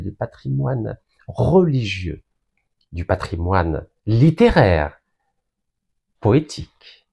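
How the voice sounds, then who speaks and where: A man from Algeria giving an emphatic, deliberate public speech that builds long lists of points. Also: fairly low pitch, creaky, dark tone, breathy edge. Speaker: political speaker.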